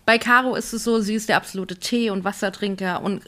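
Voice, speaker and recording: A woman's voice: medium pitch, steady tone, brisk, a bit bright. Upbeat Hessian-accented woman podcaster. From Frankfurt, Germany. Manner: upbeat, wraps up and leads segments. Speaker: podcast co-host. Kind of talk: casual chat. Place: home in Munich.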